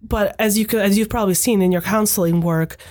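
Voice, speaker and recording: A woman in her thirties, a podcast guest whose first language is Hungarian. She talks in a thoughtful, measured way at a mid pitch and often adds a quick "right?" to check in. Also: steady tone, a little mellow. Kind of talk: reflective conversational talk.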